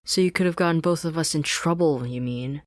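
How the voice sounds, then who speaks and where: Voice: medium pitch, brisk, no room sound, clean studio sound, pitched above the performer's own voice, close to an everyday voice. A narrator voicing a bossy, proud teenage girl with a scoffing, demanding manner, in a fan fiction reading. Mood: scornful, irritated.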